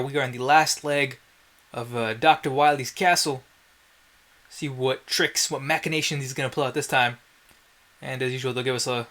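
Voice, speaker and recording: A man's voice: mid-range voice, fairly bright tone. A man giving casual gameplay commentary in a wry, self-deprecating way, with some mild swearing. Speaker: let's play host.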